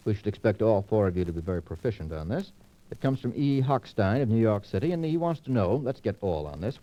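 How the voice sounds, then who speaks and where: A man moderating a 1940s radio panel quiz in a precise, wordy, teasing manner. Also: lowish voice. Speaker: quiz show host. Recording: muffled 1940s radio transcription disc.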